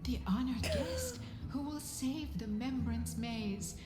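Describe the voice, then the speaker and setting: A woman voicing an elderly, forgetful fairy chief in a video game, speaking ceremoniously in a breathy, solemn storybook manner. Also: high voice, very animated, full character voice. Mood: solemn, welcoming. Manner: slow, solemn, storybook phrasing. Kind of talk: video game character acting. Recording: echoey hall reverb with faint music.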